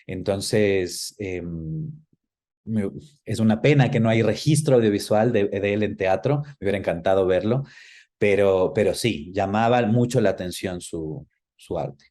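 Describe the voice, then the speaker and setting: A man's voice: fairly low pitch, unhurried, dark tone. A man of about forty with an Ecuadorian accent, answering interview questions in a reflective, explanatory way and often searching for words. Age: around 40. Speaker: interview guest.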